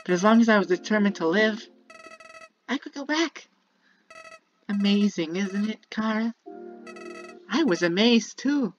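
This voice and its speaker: A woman's voice: medium pitch, expressive, measured pace, pauses often, lo-fi sound, natural voice. A woman voicing a sinister, childlike talking flower from a video game, bitter and manipulative, delivering a theatrical monologue that moves between sweet musing and menace. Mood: wistful, scornful. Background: prominent music.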